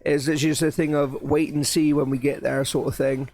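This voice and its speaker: Streamer: man, somewhat high, a bit croaky, excited rambling talk over soft background music. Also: moderate pace. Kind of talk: casual live-stream chat while building.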